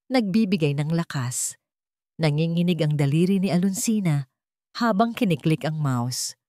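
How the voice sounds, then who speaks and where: A female narrator delivers dramatic scripted fiction. Her voice sits somewhat low and moves widely up and down in pitch. The sound is close and dry, with no room around it. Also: a little mellow, no echo. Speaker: story narrator.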